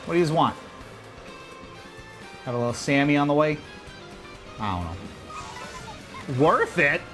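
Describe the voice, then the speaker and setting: A man giving casual, joking commentary while he plays a racing game on a livestream, reacting out loud to every turn. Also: on the high side, expressive, unhurried, over music. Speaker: livestream gamer.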